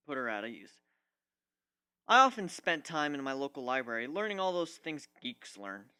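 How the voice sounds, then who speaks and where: A male amateur narrator reads fiction dramatically in the first person. His voice sits a little high and is bright and forceful, with lively, wide-ranging intonation. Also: clear, resonant tone, dry recording.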